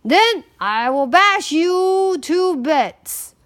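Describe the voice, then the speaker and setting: A woman's voice: very high voice, expressive, pitched above the performer's own voice, exaggerated voice. A woman voicing a great big billy goat, bold and defiant, in a children's storybook. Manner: loud, great big voice, slow.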